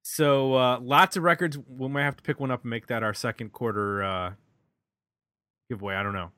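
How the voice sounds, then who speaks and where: Bright-voiced man hosting a podcast. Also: medium pitch, steady tone, moderate pace, dry recording. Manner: informal, conversational. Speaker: podcast host. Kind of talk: casual album discussion and show sign-off.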